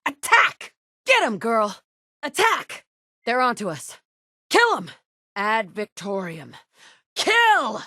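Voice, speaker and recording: A woman's voice: a little high, huge pitch swings, slow, long pauses, bright, forceful tone, no room sound, full character voice. An actress voicing a resolute woman survivor in a video game, a mother searching a wasteland, terse and commanding in a dry studio recording. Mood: battle cries. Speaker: player character.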